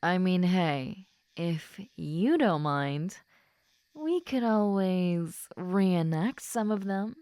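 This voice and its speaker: A woman plays a popular schoolgirl who is tipsy and openly smitten in a roleplay audio drama. Her voice is on the low side and softly murmured, with slow, drawn-out, affectionate phrasing. The recording is dry and close, as if she is talking only to the listener. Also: animated, clear, resonant tone, no echo. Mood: dreamy, bashful. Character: tipsy, giddy, lovestruck popular schoolgirl, usually stoic.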